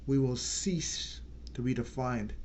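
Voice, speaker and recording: A man hosting his own channel, giving an earnest unscripted political talk and slowing down to stress key words. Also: mid-range voice, a little mellow, slight background noise. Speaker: channel host.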